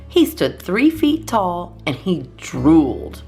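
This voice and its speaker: A woman reading a children's storybook aloud as a storytime host, expressively and in measured phrases with deliberate pauses. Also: lowish voice, big pitch swings, warm tone, soft background music.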